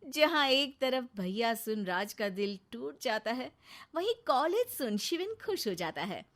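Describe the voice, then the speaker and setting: A woman narrating a children's cartoon story in a lively, expressive way. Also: fairly high pitch, measured pace.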